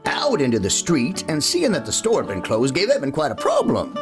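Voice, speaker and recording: Lively, folksy cowboy storyteller played by a man. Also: a little high. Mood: lively, amused. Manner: folksy, unhurried asides. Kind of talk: old-time radio storytelling. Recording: guitar music playing behind.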